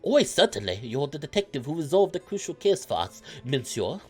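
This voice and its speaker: Man acting a noble wolf, attempted French accent, raised pitch, pompous, courteous, storybook. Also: very animated, fairly quick, slightly rough, faint music behind. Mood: flustered courtesy.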